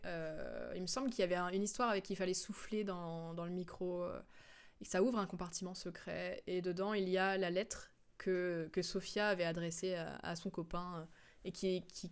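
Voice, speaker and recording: A woman streamer giving casual, rambling, explanatory commentary on a game. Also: fairly low pitch. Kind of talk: casual commentary, recapping a game's story.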